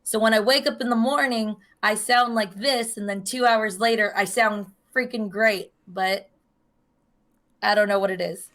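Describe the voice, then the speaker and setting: A young woman with a hoarse voice, co-hosting a podcast in casual chat, telling a long story and acting out the voices of the people in it. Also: mid-range voice, steady tone, normal speed, fairly bright tone. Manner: chatty storyteller, acts out quoted voices. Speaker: podcast co-host.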